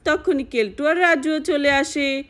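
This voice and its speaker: High-pitched woman telling children's stories. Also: a little slow, a bit bright. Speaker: storyteller. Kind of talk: children's puppet story narration.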